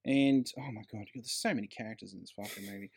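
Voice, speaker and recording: A man's voice: fairly low pitch, quick pace, creaky, darkish tone, breathy edge. A man co-hosting a casual film review chat, emphatic and slangy, with plenty of swearing. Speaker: podcast co-host.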